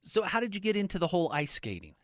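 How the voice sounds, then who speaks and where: A man's voice: high-pitched, wildly swooping pitch, fast, no room sound, clean studio sound. Chatty middle-aged male radio host. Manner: chatty, jokey, self-deprecating. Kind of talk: radio interview and promo.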